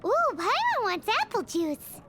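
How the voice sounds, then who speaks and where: A woman voicing a tiny, excitable female fairy companion in a video game, with a squeaky childlike voice and exclamatory delivery. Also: unhurried, full character voice. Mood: chatty. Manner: exclamatory, refers to herself in third person. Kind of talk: animated video game voice acting.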